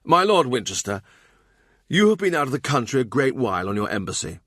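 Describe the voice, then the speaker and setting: A man's voice: medium pitch, sing-song, fairly quick, a bit bright, no room sound, clean studio sound, pitched above the performer's own voice. A man voicing a commanding, middle-aged English king from a historical audiobook, with an imperious, emphatic delivery. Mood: pointed.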